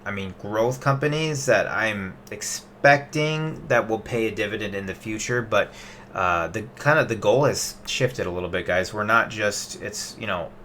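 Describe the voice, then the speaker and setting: Chatty young man, bright forceful tone. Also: lowish voice, moderate pace, slight background noise. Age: 20s. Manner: loose and chatty, many fillers and restarts. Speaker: investing vlogger. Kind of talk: casual rambling webcam update. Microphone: webcam.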